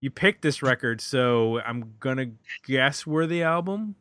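A man with a mid-pitched, bright and crisp-edged voice hosts a casual music review chat. He is recorded dry, with no room sound around him. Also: animated, a little slow, no echo. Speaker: podcast host.

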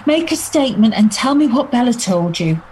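An actress playing a calm, persuasive detective inspector in an audio drama. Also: female voice, a little high, normal speed, a little hiss or hum. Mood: calm, coaxing.

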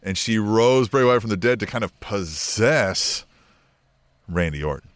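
Rambling, lively podcast man. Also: on the low side, measured pace, no echo. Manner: rambling, speculative, lots of fillers. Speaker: podcast co-host. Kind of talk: casual wrestling chat.

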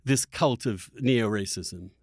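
A man's voice: lowish voice, slow pace, breathy edge, dry recording, studio quality. A man hosting a political discussion podcast, speaking emphatically and rhetorically, repeating phrases for stress. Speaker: podcast host.

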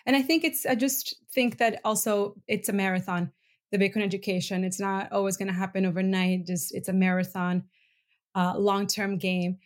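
A woman of middle pitch talking earnestly and thoughtfully as a podcast guest. Her tone stays level and conversational, with frequent ums as she searches for words. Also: fairly even intonation, normal speed. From Venezuela and Bolivia. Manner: earnest, reflective, with filler ums. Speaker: podcast guest, human rights advocate. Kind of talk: casual interview conversation.

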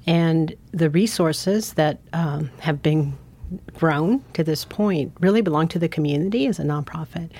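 A woman from San Francisco, a foundation CEO, giving measured, thoughtful answers in an interview. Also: low voice, mellow. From San Francisco, United States.

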